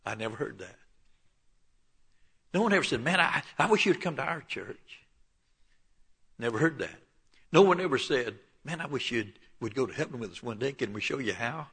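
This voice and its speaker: Man, 70s, southern alabama accent, church sermon, folksy storytelling, husky, bright tone. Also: medium pitch, animated, moderate pace, long pauses. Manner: folksy storytelling with asides and clearing his throat. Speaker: preacher. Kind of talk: sermon with personal testimony.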